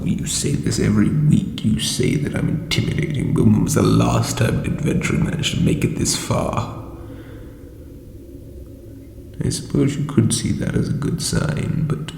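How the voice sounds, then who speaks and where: A man playing an ancient, insecure undead lich king in a comedic audio roleplay, with a breathy croak and hesitant, pompous phrasing. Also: mid-range voice, measured pace, a little mellow. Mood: self-doubting. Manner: hesitant, self-doubting, theatrical formal phrasing. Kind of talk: scripted comedic audio roleplay addressed to listener. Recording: reverberant echoey hall, faint background noise.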